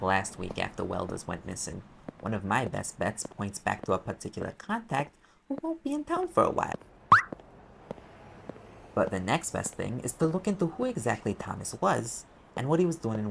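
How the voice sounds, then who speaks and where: A man's voice: lowish voice, big pitch swings, normal speed, creaky, background noise, full character voice. A man playing a sarcastic, streetwise demon private investigator in an audio roleplay, talking in an offhand, sardonic way. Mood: wry, brooding. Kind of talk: conversational audio roleplay acting.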